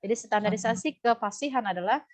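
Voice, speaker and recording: A woman's voice: on the high side, fast. A woman with an Indonesian accent teaching Quran recitation in a live lesson, explaining and demonstrating how sounds are pronounced. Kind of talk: live lesson answering student questions. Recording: dull, lo-fi online audio.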